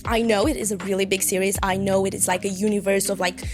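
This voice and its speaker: A woman's voice: mid-range voice, little pitch movement, quick pace, music in the background. A woman in her twenties from Eastern Europe, who speaks English as a second language, chatting casually and rambling a little as a book vlogger at home. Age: 20s. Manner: chatty, rambling, self-deprecating. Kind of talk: casual chat to camera. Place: home room with bookshelves.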